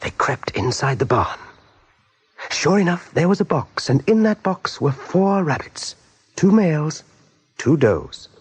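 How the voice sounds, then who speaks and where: An actor as the storyteller of a radio play, narrating in measured, dramatic phrases. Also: male voice, fairly high pitch, big pitch swings, husky, heavily acted. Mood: hushed, suspenseful. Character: measured, suspenseful male storyteller.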